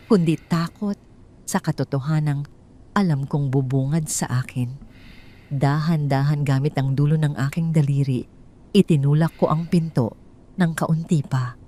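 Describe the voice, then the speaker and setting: A woman telling a first-person drama story in a hushed, tense, suspenseful way. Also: deep voice. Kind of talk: first-person scripted narration.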